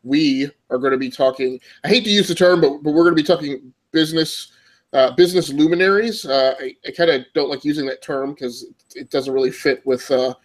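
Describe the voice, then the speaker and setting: A man hosting a gaming podcast, congested from a cold, talking in a rambling way with many fillers. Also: mid-range voice. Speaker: podcast host. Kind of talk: casual podcast chat.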